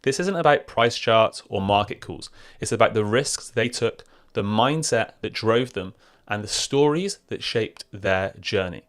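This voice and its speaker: A man's voice: fairly low pitch, animated, a little slow, no echo, studio quality. A British man from the South West of England hosting an interview, chatty and stumbling over his questions. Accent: British English. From Bath, South West England. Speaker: podcast host. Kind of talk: casual interview chat.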